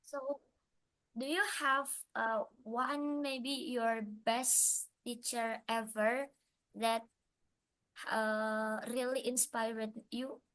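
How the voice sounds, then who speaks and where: A young woman asks her questions slowly, with a slightly high voice and plenty of hesitation. She stumbles and restarts as she works through each question. Her delivery sounds careful and unhurried. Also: steady tone, fairly bright tone, low-quality recording. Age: young adult. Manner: hesitant, halting questions with fillers. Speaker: student interviewer. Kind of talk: interview questions.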